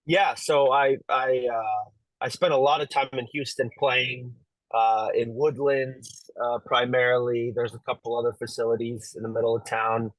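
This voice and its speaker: A young man from Las Vegas, a pro pickleball player, chatting as an interview guest in a relaxed, easygoing way. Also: mid-range voice, moderate pace, dry recording, low-quality recording. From Las Vegas, USA. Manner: relaxed, easygoing, lots of fillers and light laughs. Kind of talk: casual interview talk.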